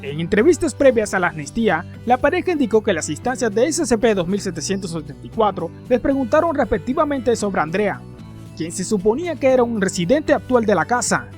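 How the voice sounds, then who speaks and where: A man's voice: high-pitched, sing-song, a little fast, faint music behind. A man reading scripted narration in the manner of a formal report for a horror fiction channel.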